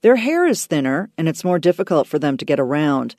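A woman reading a scripted radio news feature in an expressive storytelling manner. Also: low voice, fairly quick, no echo, clean studio sound. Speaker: radio reporter.

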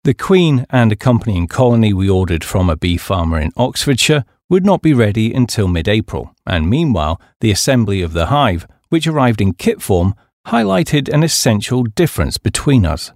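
Low-pitched, expressive male audiobook narrator. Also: normal speed, dry recording, clean studio sound. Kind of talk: scripted audiobook narration of a humorous memoir.

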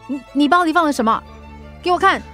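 A strict, exasperated mother in a radio drama, speaking. She interrogates and scolds, quick to anger, over faint music. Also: high-pitched, expressive. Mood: accusing, angry. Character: strict, exasperated working mother of a teenage girl. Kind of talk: Mandarin radio drama acting.

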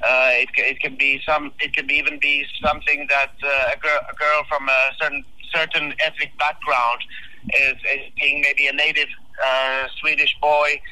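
Bright-voiced man on a phone line. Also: on the high side, flat delivery, no echo. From Finland. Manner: halting, repeats words often. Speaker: guest commentator. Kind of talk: radio interview.

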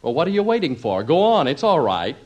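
A man's voice: a little high, very animated, a little fast, darkish tone, highs cut off. An actor playing a flustered, stubborn, put-upon husband and father with an American accent, stammering and self-pitying in 1950s radio comedy. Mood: putting on cheer. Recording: noisy old 1950s radio recording.

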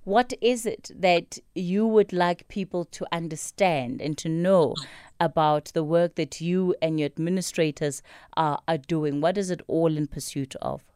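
A South African woman hosts a live radio talk show. Her voice is soft-edged and mellow, captured close and dry, as she moves between guests. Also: lowish voice, measured pace, smooth, no echo. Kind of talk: live panel interview hosting.

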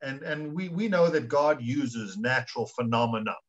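A man's voice: medium pitch, steady tone, moderate pace. A man from South Africa co-hosting a Bible discussion, speaking conversationally and emphatically, repeating words as he goes, on dull lo-fi audio. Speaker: school principal co-hosting a Bible study.

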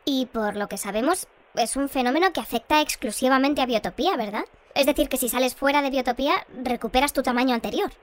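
A woman playing a composed, matter-of-fact radio host shrunk to half size, in a scripted radio drama, with a pitched-up voice. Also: fast talker. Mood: composed, inquiring. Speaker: radio presenter. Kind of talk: scripted fictional radio bulletin and interview.

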